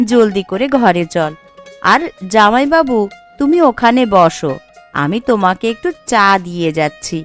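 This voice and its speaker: A woman's voice: medium pitch, close to an everyday voice. Woman acting a caring cartoon village housewife. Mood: welcoming. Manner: consoling, homely.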